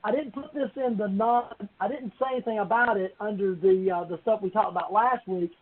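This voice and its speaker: A man's voice: high-pitched, a little fast, slightly rough. A man speaking as a council member, making informal, rambling remarks in a budget meeting that circle back to the point. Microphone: conference call. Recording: thin, phone-like conference call.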